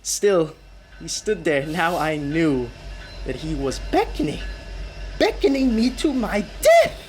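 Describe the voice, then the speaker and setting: A man's voice: a little high, very animated, slow pace, a little mellow. An actor playing a haunted, desperate young male driver in a radio drama, with an intense delivery that stammers under agitation. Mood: rising dread. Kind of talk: radio drama acting with first-person narration. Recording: clearly noisy radio play recording.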